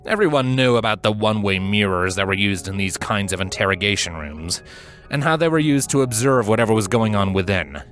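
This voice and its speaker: Male fiction narrator: lowish, animated, suspenseful dramatic read. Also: a little fast, faint music behind.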